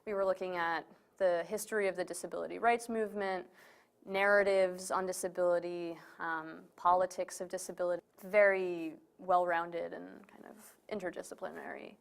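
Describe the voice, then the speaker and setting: A woman's voice: lowish voice, steady tone, normal speed, vocal fry. A young woman from New York City, born in Romania, answering casually as an interview guest. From born in Romania, raised in New York City. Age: young adult. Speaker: interview guest, community organizer.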